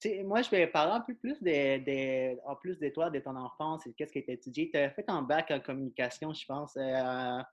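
A man's voice: a little high, normal speed, hardly any pauses, dull audio. A man hosting a podcast, asking questions in a loose, informal way. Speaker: podcast host. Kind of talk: casual interview questions.

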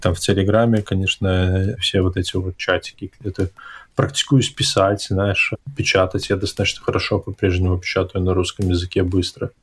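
A man with a deep, slightly husky voice talks in a loose conversational way. He hesitates, circles back and rephrases as he thinks. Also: flat delivery, fairly quick. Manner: hesitant, self-correcting, reflective. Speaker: podcast host. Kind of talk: casual conversational interview. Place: abroad, in an English-speaking country.

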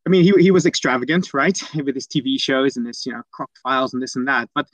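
A man's voice: mid-range voice, fast, dry recording, low-quality recording. Hesitant young man from the Netherlands. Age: young adult. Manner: hesitant, many restarts and ums. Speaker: guest, conservation program manager. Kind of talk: casual storytelling interview.

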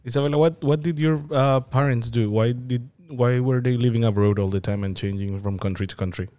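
A man's voice: medium pitch, fairly even intonation, brisk, mellow. A man hosting a podcast interview, asking questions and recapping the guest's answers. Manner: asks follow-ups, recaps answers. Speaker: podcast host.

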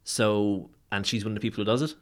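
A man's voice: lowish voice, steady tone, fast. A man on a podcast, telling enthusiastic anecdotes in casual chat.

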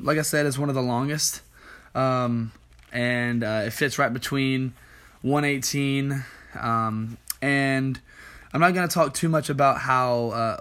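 A young man, a youth worship leader, giving a casual Bible study talk in a tired, slightly hoarse voice, often saying um and clearing his throat. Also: medium pitch, fairly bright tone. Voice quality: many ums and throat clearing.

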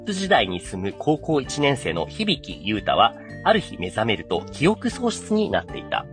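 A man hosts a casual anime podcast as an enthusiastic explainer. His mid-pitched voice swings widely in melody and moves along quickly, with faint music underneath. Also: huge pitch swings. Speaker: podcast host. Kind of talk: casual anime discussion with story summaries.

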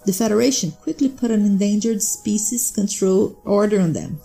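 A man's voice: high-pitched, moderate pace, creaky, dark tone, soft background music, pitched above the performer's own voice. A man voicing a sly, businesslike smuggler woman in a sci-fi story reading, breezy and knowing. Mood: matter-of-fact.

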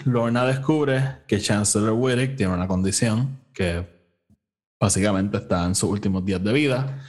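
A man with a Puerto Rican accent co-hosting a casual review chat, informally mixing English words into his Spanish. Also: mid-range voice. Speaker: podcast co-host.

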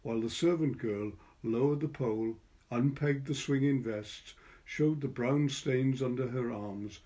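A man's voice: mid-range voice, unhurried, dark tone. A man with a Welsh accent, a curator talking informally and reading a literary short story aloud. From Wales.

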